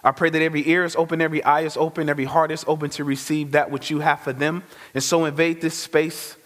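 A man giving a lively, conversational testimony sermon as a guest speaker, full of slang. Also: fairly high pitch, quick pace. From Chicago. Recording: reverberant hall.

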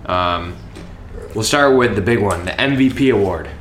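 A man co-hosting a casual sports podcast, relaxed and jokey, talking from inside a house. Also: fairly low pitch, normal speed. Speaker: podcast co-host. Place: house, indoors. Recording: echoey room with faint noise.

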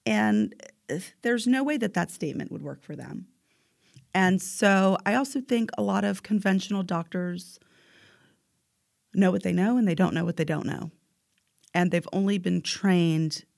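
A woman's voice: fairly low pitch, animated, a little slow, vocal fry, darkish tone, dry recording, clean studio sound. A woman in her forties talking emphatically in a casual health chat, often tagging her points with "right?". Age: 40s. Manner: emphatic, keeps asking "right?".

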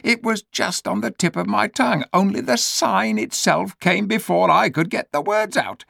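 A man's voice: sits high, moderate pace, vocal fry, sharp tone, dry recording, studio quality, voice pushed up, full character voice. A man voicing a crafty, bossy male ape who whines and coaxes in a storybook reading. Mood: insistent. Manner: whiny, persuasive, self-pitying. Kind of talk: storybook read-aloud.

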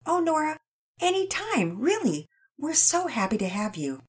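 A woman's voice: high voice, wildly swooping pitch, normal speed, voice pushed up. A woman voicing a gracious, playful mother in a children's audio story. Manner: warm, teasing.

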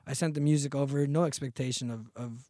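A man in his thirties, a podcast guest and singer, telling stories in a relaxed, slangy way. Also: medium pitch, quick pace, no echo, studio quality. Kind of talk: casual interview chat.

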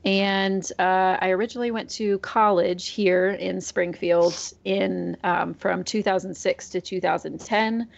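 Gravelly thirty-something woman guest. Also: lowish voice, a little slow, hardly any pauses. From Iowa, United States. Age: 30s. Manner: relaxed, peppered with ums and small laughs. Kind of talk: casual interview chat. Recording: slightly dull lo-fi audio.

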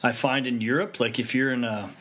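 A man's voice: mid-range voice, fairly even intonation, a little fast, fairly bright tone. A man hosting a casual interview, talking conversationally and asking loose, rambling questions. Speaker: interviewer, host.

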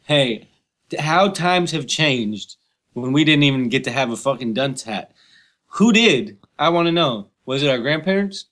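A man's voice: mid-range voice, animated, normal speed, a bit bright. A man co-hosting a podcast in casual, joking banter with irreverent and heavily profane slang. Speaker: podcast co-host.

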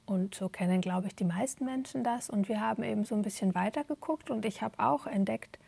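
Woman interview guest, husky with some vocal fry, reflective and hesitant, informal conversational delivery. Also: mid-range voice, brisk, warm tone. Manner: thoughtful, hesitant, searching for words. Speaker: interview guest, theatre performer.

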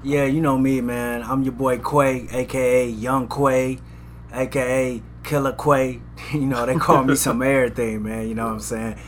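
Easygoing Florida man on a podcast, faint hiss. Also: mid-range voice. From Florida, USA. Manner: relaxed, drawn-out slang. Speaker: podcast co-host. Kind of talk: casual chat.

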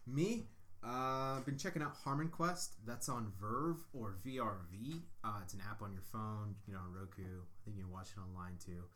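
A man's voice: on the low side, brisk, fairly bright tone. A man co-hosting a podcast in casual chat, rambling and joking with lots of fillers. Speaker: podcast co-host.

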